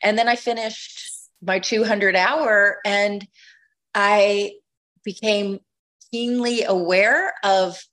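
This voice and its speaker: A woman's voice: medium pitch, steady tone, slow, a bit bright. A middle-aged woman guest telling her story in a reflective, conversational way, often restarting phrases as she thinks. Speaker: podcast guest, retreat leader.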